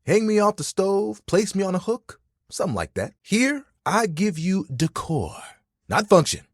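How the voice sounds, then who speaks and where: A man's voice: high voice, sing-song, moderate pace, no echo, studio quality, heavily acted. A man voicing a friendly, vain, dramatic living towel in a video game, chatty and playful with an easily wounded manner. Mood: fussy, defensive. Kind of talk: animated, conversational game character acting.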